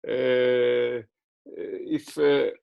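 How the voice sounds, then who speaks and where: Guy answering interview questions slow n gravelly, lots of uhs, english isnt his first language. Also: mid-range voice, level tone, darkish tone. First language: not English. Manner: hesitant, many fillers and restarts.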